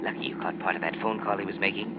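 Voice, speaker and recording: A man acting as the menacing narrator of a 1940s radio suspense play, speaking like a guilty conscience in a measured, insinuating manner. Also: low-pitched, fairly even intonation, very noisy, close to an everyday voice. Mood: taunting, sinister. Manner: measured, insinuating, addressing the character as you. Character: ominous taunting male narrator, a guilty man's inner voice. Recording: muffled 1940s radio recording, music behind.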